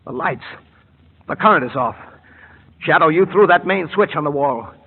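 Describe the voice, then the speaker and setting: A man's voice: on the high side, animated, moderate pace, slightly rough, big-room sound. An actor playing a desperate male villain doctor in an old radio drama, frantic and threatening. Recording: tinny old radio broadcast with hiss.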